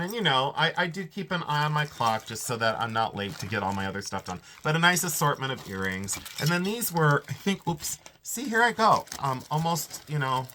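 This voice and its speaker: A congested, stuffed-up man talks through his haul in a chatty, rambling way, often cutting himself off and laughing. His voice swings widely up and down in pitch, sitting around a middle register. He sounds like a casual reseller showing his finds. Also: sharp tone, slight background noise. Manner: chatty, rambling, self-interrupting, frequent laughs.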